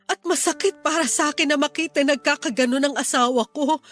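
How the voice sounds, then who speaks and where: A woman's voice: high-pitched, fairly quick, a bit bright. A Filipino woman playing a desperate wife and mother pleading earnestly with her sister-in-law in a radio drama. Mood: distressed, insistent. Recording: music under the dialogue.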